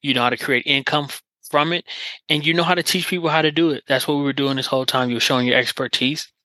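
Guy hosting a podcast, bright voice, dry close sound, super encouraging. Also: mid-range voice, fairly even intonation, quick pace, no echo, rough recording. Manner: encouraging, earnest, many filler phrases. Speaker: podcast host. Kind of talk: casual interview chat.

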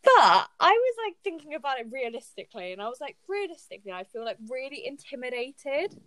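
A woman's voice: high-pitched, very animated, a little fast, a bit bright, low-quality recording. A young woman co-hosting a podcast, talking informally and animatedly with her friends. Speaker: podcast co-host. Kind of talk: casual chat among friends.

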